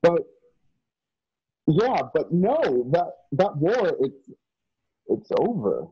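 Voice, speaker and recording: Radio drama role: puzzled young man, acted by a man, husky and slow, lively pitch, muffled call audio. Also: full character voice. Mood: puzzled, doubtful. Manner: halting, plain-spoken, doubtful. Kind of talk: remote radio play reading. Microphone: video call. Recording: dull lo-fi video call audio.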